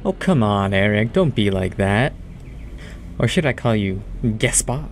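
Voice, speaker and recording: A man's voice: on the low side, expressive, a little slow, faint music behind. An actor playing a smug, taunting monster disguised as a man, mocking and falsely chummy in a horror audio drama. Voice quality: unnatural, off-sounding.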